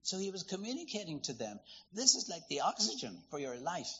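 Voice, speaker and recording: A man from Ireland, preaching a sermon in a lively, conversational, storytelling way. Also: fairly high pitch, brisk, slightly rough, muffled recording. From Northern Ireland.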